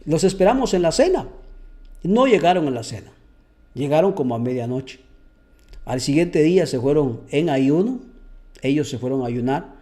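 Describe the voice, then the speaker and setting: A man's voice: on the high side, animated, normal speed, long pauses, dark tone. A man giving an earnest testimony and Bible teaching as a preacher, often repeating words as he searches for them.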